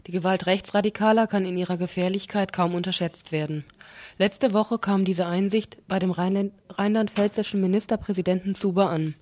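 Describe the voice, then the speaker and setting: A woman's voice: mid-range voice, darkish tone. A woman presenting scripted political news and commentary on the radio, now and then stumbling and restarting a phrase.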